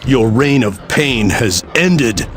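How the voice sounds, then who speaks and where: An actor playing a grim, resolute adventurer in a video game, speaking in a solemn, declamatory way. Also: male voice, mid-range voice, expressive, slow, heavy background noise. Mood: grim resolve. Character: grim male adventurer hero, resolute and stern.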